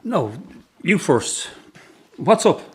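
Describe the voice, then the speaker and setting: A man acts a weary, middle-aged father from a circus family in a radio play. His voice is fairly high for a man and very animated in pitch, playing someone guarded and wounded. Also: extremely slow, breathy edge, exaggerated voice. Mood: hurt, resigned. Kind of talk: radio drama acting.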